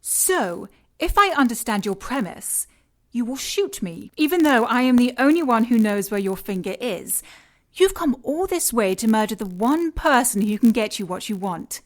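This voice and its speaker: An actress playing a cool, commanding villain boss in an audio drama, composed, precise and dryly condescending. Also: female voice, fairly high pitch, big pitch swings, moderate pace. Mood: unyielding.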